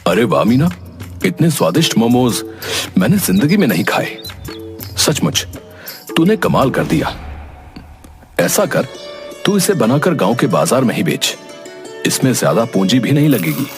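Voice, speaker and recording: An actor playing a kindly, pious village neighbour in a cartoon story, folksy and exclamatory. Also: male voice, mid-range voice, sing-song, normal speed, long pauses. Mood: warm, impressed. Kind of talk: cartoon moral story acting. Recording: music behind the voice.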